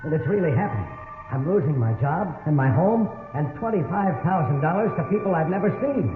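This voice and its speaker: Tinny old radio, actor as wry american salesman guy, lively up and down delivery, easygoing but flustered. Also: a little high, brisk, over music, natural voice. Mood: anguished. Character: ordinary married book salesman, wry, easygoing, flustered by trouble. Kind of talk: 1950s radio drama acting, first-person narration and dialogue. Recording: tinny band-limited 1950s radio recording.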